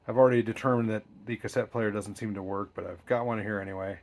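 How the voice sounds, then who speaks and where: A man's voice: on the low side, steady tone, quick pace. A man presenting a casual hands-on demonstration in his garage, talking with dry, wry humor. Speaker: presenter, junkyard parts hobbyist. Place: home garage.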